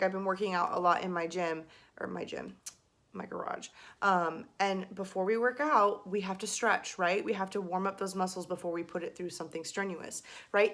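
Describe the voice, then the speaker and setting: A chatty young woman in a medium pitch coaches viewers through vocal warm-ups. She talks loosely and fills pauses with "like" and "um." A faint graveliness sits in her lower notes. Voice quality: slight gravel in her low register. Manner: chatty, filler-heavy, demonstrating exercises. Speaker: voice teacher. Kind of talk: casual video lesson.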